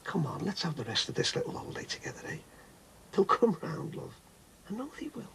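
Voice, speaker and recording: A blunt Scouse dad. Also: male voice, high-pitched, wildly swooping pitch, brisk, vocal fry, fairly bright tone, slight background noise, full character voice. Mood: pleading. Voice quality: blunt, coaxing, calls people love. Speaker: father. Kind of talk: 1990s TV soap acting.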